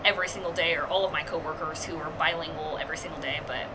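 A woman's voice: low voice, steady tone, very fast, background noise. A young woman in her twenties, a TV news reporter, chatting upbeat and casually in an interview with many fillers.

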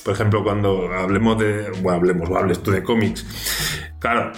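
Podcast host, man, low voice, joking questioner, roomy echo. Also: steady tone, fairly quick. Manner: joking, asks naive questions. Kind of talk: casual podcast chat. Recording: roomy echo, faint music.

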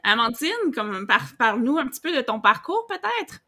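A woman co-hosting a podcast, friendly and quick in her remarks. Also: on the high side, sing-song, bright, forceful tone. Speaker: podcast co-host.

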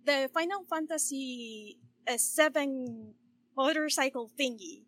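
A woman with a high-pitched voice. She talks slowly and haltingly, in an informal way suited to a casual podcast conversation. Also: a bit bright, dry recording. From Chile. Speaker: podcast co-host. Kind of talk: casual chat.

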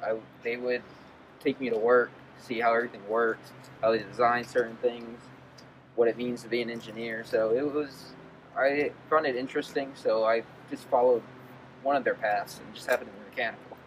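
A man's voice: mid-range voice, steady tone, moderate pace. A young man from Maryland speaks plainly about his family background, with slight hesitations. He sounds somewhat distant from the mic. A faint hum sits behind him. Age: young adult. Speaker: graduating engineering student.

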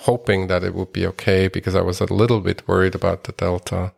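Swiss-born man host, deep gravelly voice. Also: little pitch movement, fast, a little mellow. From Switzerland. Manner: friendly backchanneling, frequent laughs and fillers. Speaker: podcast host. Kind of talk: casual interview conversation.

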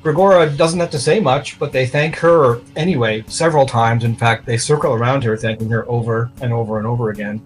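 A man runs a tabletop role-playing game as game master, mid-pitched and matter-of-fact. He improvises his narration and explains as he goes, with soft guitar music underneath. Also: fairly quick, few pauses, rough recording. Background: plucked guitar music.

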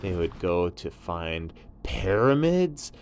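A man's voice: low-pitched, big pitch swings, takes it slowly, a little mellow. A man telling an improvised children's bedtime story, narrating in simple, explanatory phrases.

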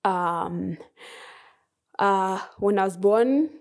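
A young woman in her twenties with a Kenyan accent, a podcast guest chatting casually, soft-spoken with frequent laughs and hesitations. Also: medium pitch, very slow. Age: 20s. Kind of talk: casual interview chat.

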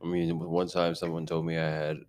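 A man's voice: sits low, monotone, brisk, a little mellow. A man co-hosting a casual podcast, teasing his partner with dry jokes. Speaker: podcast co-host.

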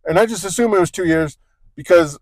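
A man with a fairly high voice chats loosely on a sports podcast, circling back and correcting himself as he goes. The sound is close and dry, with no room behind it. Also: fairly quick, no room sound. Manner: rambling, self-correcting, joking asides. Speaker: podcast co-host. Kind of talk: casual sports podcast chat.

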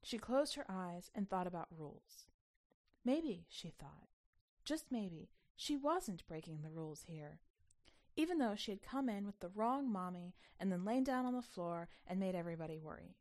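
A woman reading a short story aloud as an expressive, emphatic narrator with a sense of childlike wonder. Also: medium pitch, normal speed.

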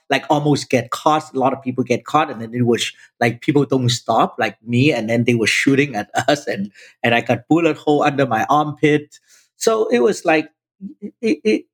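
A man in his fifties from Vietnam, a native Chinese speaker, telling his story emphatically as a podcast guest and often addressing the host directly. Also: mid-range voice. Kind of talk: personal storytelling in conversation.

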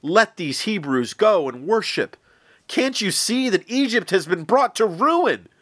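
A man's voice: high voice, lively intonation, a little slow, fairly bright tone, dry recording, studio quality, pitched above the performer's own voice, exaggerated voice. A man doing desperate pleading advisors. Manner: pleading, rising to a cry. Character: desperate male royal advisors.

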